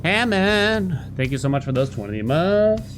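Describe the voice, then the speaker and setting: A man's voice: sits high, sing-song, a little slow. A man in his thirties giving casual, slangy live commentary while streaming a video game. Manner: informal, slangy, swearing. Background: game music under the voice.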